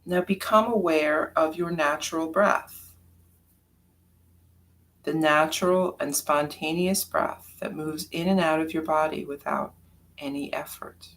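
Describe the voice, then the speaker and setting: A yoga nidra teacher leading a guided meditation in a calm, unhurried, soft-spoken way with long pauses. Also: mid-range voice, rough recording.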